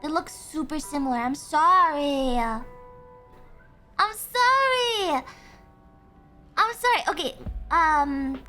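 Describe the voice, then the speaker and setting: A woman streamer chatting casually and thinking aloud, excitable, with frequent gasps and laughs. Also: high voice, slow, long pauses, faint music behind. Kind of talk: casual livestream chat.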